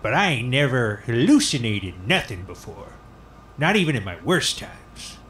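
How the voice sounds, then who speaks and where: A man's voice: mid-range voice, sing-song, moderate pace, a bit bright, a little hiss or hum. An actor playing a defiant, drunken older small-town man, rambling in folksy rural grammar in an audio drama. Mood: earnest, insistent.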